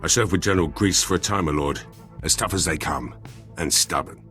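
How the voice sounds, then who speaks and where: An actor playing a gruff, dry military veteran in a video game, speaking in terse, clipped phrases. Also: male voice, sits low, fairly quick, a bit bright, soft background music. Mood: wry, matter-of-fact. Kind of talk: video game dialogue acting.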